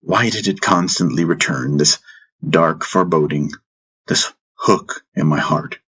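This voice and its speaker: A man narrating a first-person fantasy audiobook in a measured way, with dramatic pauses. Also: very deep voice, a bit bright, slightly rough. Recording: music bed under the voice. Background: background music.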